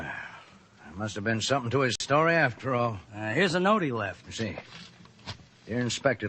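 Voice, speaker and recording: Gruff 1940s radio-drama detectives talk in tough slang at a crime scene. Their voices swing widely in pitch and carry a bright, slightly husky tone, heard on an old radio broadcast. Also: male voice. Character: two gruff male police detectives.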